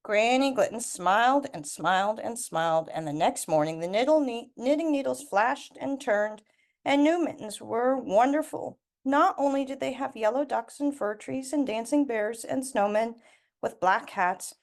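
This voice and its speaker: A woman reading a children's storybook aloud expressively, with deliberate emphasis on repeated words and lists. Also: on the high side, lively intonation. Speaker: storybook reader.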